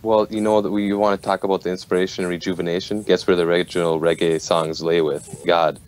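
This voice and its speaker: Very deep, fast-talking emphatic man. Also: flat delivery, darkish tone, clear, resonant tone. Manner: emphatic, preachy. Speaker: panelist, musician. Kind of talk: casual panel discussion.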